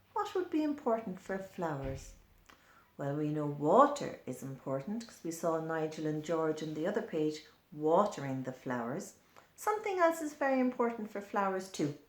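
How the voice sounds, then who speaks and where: A woman reading a picture book aloud to young children, explaining and pointing things out as she goes. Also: fairly low pitch. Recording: echoey room.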